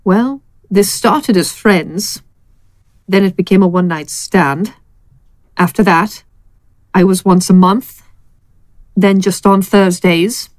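A woman playing a sardonic, seductive and protective secret lover in an audio drama, speaking in a teasing, pointed, deliberate way. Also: medium pitch, no room sound, natural voice. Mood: wounded, pointed.

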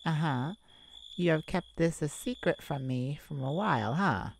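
A woman voicing a playful, flirtatious immortal vampire in an intimate ASMR roleplay, teasing and dramatic with lingering pauses. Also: sits low, vocal fry, slight background noise.

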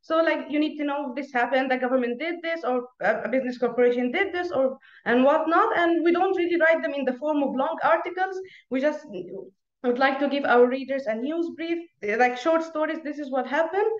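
High-voiced Egyptian woman on a video call. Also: brisk, echoey room, highs cut off. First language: Arabic. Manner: chatty, laughs often, many restarts. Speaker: guest. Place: small room at home. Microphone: earphone mic on video call.

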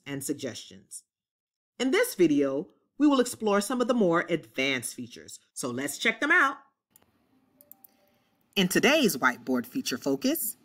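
A woman teacher giving clear step-by-step instructions in a screen-recorded software tutorial, voiced over the screen. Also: deep voice.

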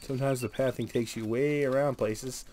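A man's voice: mid-range voice, steady tone, normal speed, darkish tone. A man casually narrating his gameplay, muttering joking asides as he goes. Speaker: gameplay streamer. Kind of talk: casual gameplay commentary, reading quest dialogue aloud. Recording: noisy home recording.

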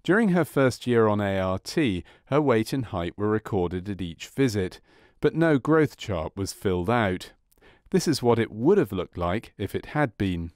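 Male narrator for a medical training film: lowish pitch, measured and clinical delivery, very little room sound. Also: darkish tone, no echo, studio quality. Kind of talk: scripted documentary narration of a medical case study.

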